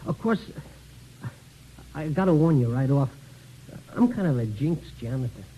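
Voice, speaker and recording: A man's voice: medium pitch, expressive, moderate pace, mellow, slight background noise, close to an everyday voice, low-quality recording. An actor playing a talkative, down-on-his-luck American janitor and father in early 1950s radio comedy-drama, rambling, stammering and self-deprecating. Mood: wry, sheepish.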